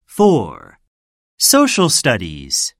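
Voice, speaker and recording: A woman reading a scripted textbook listening drill, saying words slowly and deliberately for learners to repeat. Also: extremely low pitch, huge pitch swings, no room sound, clean studio sound. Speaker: lesson narrator.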